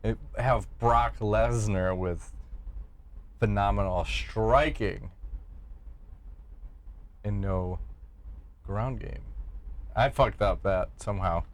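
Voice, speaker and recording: A man's voice: fairly low pitch, takes it slowly, pauses often. A man co-hosting a casual fight-sports podcast, loose and jokey, cursing freely and slipping into silly put-on accents. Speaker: podcast co-host.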